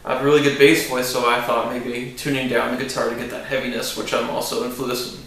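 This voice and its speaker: A man with a deep voice, a country musician, talking casually about his song in a relaxed, rambling way with many fillers. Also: fast. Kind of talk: casual interview talk. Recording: echoey room with faint noise.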